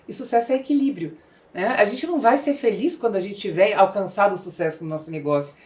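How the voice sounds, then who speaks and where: A woman's voice: medium pitch, warm tone. A middle-aged woman with a Brazilian accent hosting a podcast, explaining her views in a conversational way. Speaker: podcast host, business growth specialist.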